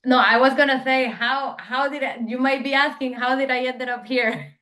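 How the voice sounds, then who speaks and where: A woman's voice: sits high, moderate pace, pushed and bright. Eager young woman founder, chatty. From Venezuela. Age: young adult. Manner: eager, talkative, frequent fillers and restarts. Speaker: startup founder and guest. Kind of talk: casual interview answers.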